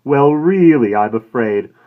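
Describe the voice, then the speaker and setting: A man voicing a sleek, condescending male florist who talks smoothly and ingratiatingly and turns shrill when excited. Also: measured pace, close to an everyday voice. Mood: prim. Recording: dull lo-fi recording.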